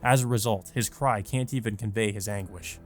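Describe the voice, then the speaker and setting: A man's voice: lowish voice, fairly quick, faint music behind. A man hosting a let's play, reading a visual novel aloud and chatting about it in a casual, rambling way full of fillers. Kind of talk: visual novel read-through with commentary.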